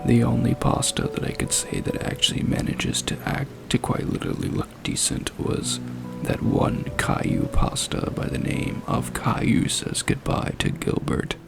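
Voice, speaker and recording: A man with a gravelly voice giving a dramatic reading and scornful review in a deliberate, foul-mouthed manner. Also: mid-range voice, huge pitch swings. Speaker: creepypasta narrator and reviewer. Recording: music playing behind the voice. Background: background music.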